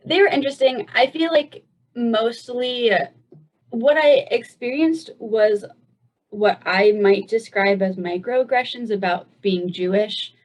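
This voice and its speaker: A woman's voice: on the high side, takes it slowly, a little mellow. A woman in her twenties from the United States, a guest telling her story in a loose, chatty way with plenty of "um" and "like". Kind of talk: casual personal storytelling. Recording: dull lo-fi sound.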